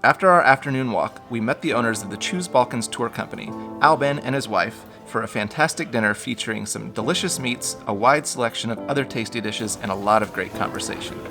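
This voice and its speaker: A man co-hosting a travel vlog, hoarse from a cold, narrating in an upbeat, enthusiastic way. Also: medium pitch, brisk, hardly any pauses, music in the background. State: hoarse, losing his voice. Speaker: travel vlogger co-host.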